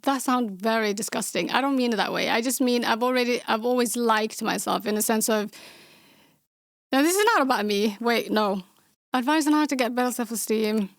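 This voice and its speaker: A young adult woman from Somalia with a Somali accent, hosting a casual podcast Q&A and answering viewer questions in a blunt, outspoken way with sarcastic deadpan asides. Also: on the high side, brisk, gravelly, no echo, studio quality. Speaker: podcast host.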